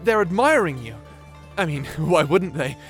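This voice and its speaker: A man's voice: a little high, expressive, unhurried, fairly bright tone, soft background music. A man playing a shy, nerdy young wizard in a fantasy audio roleplay, stammering, bashful and apologetic. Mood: flustered admiration. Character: shy nerdy young male wizard, flustered, eagerly polite.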